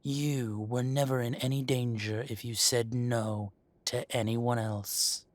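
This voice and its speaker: A man acting as a possessive dragon shifter mafia boss in a roleplay audio drama, speaking with mock politeness and deliberate, taunting menace. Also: medium pitch, little pitch movement, no room sound, natural voice. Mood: coolly reasoning. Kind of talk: scripted roleplay audio drama, addressing the listener.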